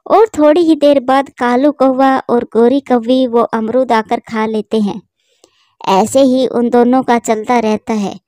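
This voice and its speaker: A woman narrating a children's cartoon story in a steady, plain storytelling manner. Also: on the high side, dry recording, studio quality.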